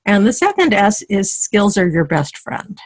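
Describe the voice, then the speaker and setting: A middle-aged woman leading a dating teleclass on a video call in relaxed, encouraging talk full of fillers and restarts. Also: medium pitch, moderate pace, husky, dry recording. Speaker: dating coach co-hosting a teleclass. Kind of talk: conversational teaching on a video call.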